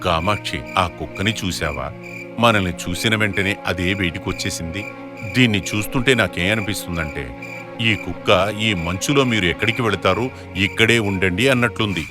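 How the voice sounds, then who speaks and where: A man playing a poor, gentle father in an animated moral story, speaking humbly and earnestly. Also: low-pitched, normal speed, music in the background, natural voice. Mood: earnest, reassuring.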